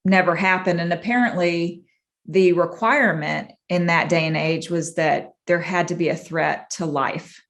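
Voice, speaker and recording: A woman from Massachusetts, a clinician, speaking as an interview guest in a reflective, earnest and measured way. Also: fairly low pitch, a bit bright. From Massachusetts, USA. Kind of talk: personal storytelling in an interview.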